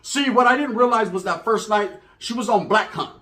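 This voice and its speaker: High-pitched American man telling bawdy stories. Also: brisk. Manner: slangy, animated, acts out dialogue, checks in with "right?". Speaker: storyteller, channel host. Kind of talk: casual bawdy comedic storytelling.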